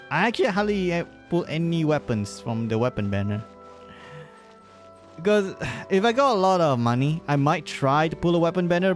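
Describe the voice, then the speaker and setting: Casual man rambling on a game stream. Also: fairly high pitch. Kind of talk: casual live gaming commentary.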